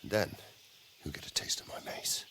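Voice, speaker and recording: An actor playing a stern, vengeful warrior knight in a medieval video game drama. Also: male voice, normal speed, bright, forceful tone, slight background noise, lightly acted. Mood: grim.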